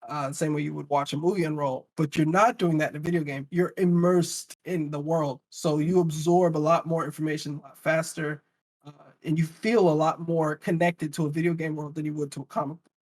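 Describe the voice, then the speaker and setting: Male, slightly high register, casual panel debater, emphatic and argumentative. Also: steady tone, fairly quick. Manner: emphatic, argumentative, rhetorical questions. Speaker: podcast panelist.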